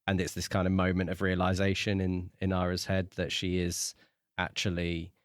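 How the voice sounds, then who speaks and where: A man's voice: sits low, monotone, normal speed, clean tone, no room sound, clean studio sound. A man co-hosting a podcast in a casual, conversational style. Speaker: podcast co-host discussing books.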